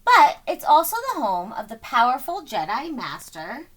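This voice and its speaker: A woman reading a children's story aloud in an expressive, dramatic way, with deliberate phrasing and stressed words. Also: sits high. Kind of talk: expressive scripted read-aloud narration.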